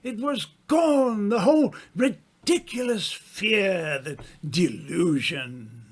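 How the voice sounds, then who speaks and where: A man narrating an old-time radio mystery in a dramatic, deliberate storytelling manner. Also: high-pitched, lively intonation, husky.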